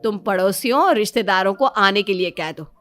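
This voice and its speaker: A woman's voice: medium pitch, a little fast, a bit bright, natural voice. A woman voicing a stern, weary middle-aged mother-in-law who admonishes firmly in a cartoon family story. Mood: commanding. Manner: admonishing, firm.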